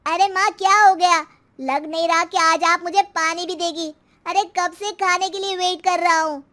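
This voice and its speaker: A woman's voice: extremely high pitch, steady tone, moderate pace, sharp tone, voice pushed up. A woman voicing an impatient, entitled teenage son who complains, in a moral story. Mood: impatient complaint.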